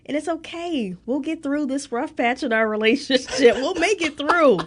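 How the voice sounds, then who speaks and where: A woman's voice: sits high, normal speed. A young woman co-hosting a podcast in casual chat, with a nasal, congested voice, laughing often. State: congested nose. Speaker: podcast co-host.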